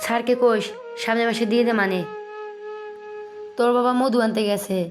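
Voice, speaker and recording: Woman acting high-voiced scolding Bangladeshi village mother. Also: steady tone, a little fast, close to an everyday voice. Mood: brisk. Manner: nagging, sharp-tongued. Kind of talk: cartoon voice acting. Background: flute music.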